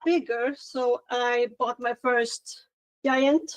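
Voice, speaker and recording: A woman's voice: fairly high pitch, fairly even intonation, takes it slowly, poor audio. A woman helping on a live puzzle stream, speaking modestly and a little self-deprecatingly. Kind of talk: casual live-stream chat.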